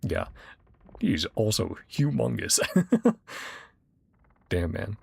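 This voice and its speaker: A woman's voice: a bass voice, very animated, slow, vocal fry, darkish tone, breathy edge, no echo. A woman game streamer giving casual let's-play commentary and reading game dialogue aloud. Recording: game music behind voice. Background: game music.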